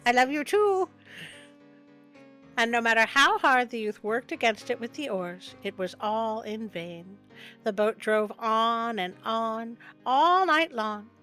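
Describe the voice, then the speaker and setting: High-voiced woman storyteller over music. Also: slow, bright, forceful tone. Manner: playful, wry commentary. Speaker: host of a storytelling show. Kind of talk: storybook reading with joking asides. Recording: music bed under the voice.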